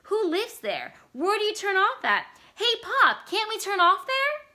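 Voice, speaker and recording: Storybook boy, acted by a woman: high-pitched, loud, bright, pushy and eager. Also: wildly swooping pitch, measured pace, heavily acted. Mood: excited, pleading. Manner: pleading, eager shouting.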